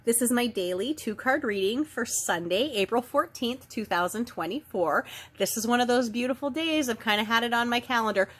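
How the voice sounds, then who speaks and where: A woman with a head cold and a slightly nasal voice, giving a casual spiritual oracle card reading in a chatty, gentle way. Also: fairly high pitch, moderate pace. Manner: chatty, gentle, with frequent ums and self-corrections.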